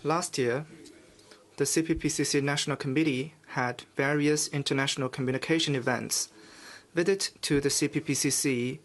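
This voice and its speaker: A man interpreting official statements live at a press conference, in measured, formal phrases with halting breaks. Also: mid-range voice.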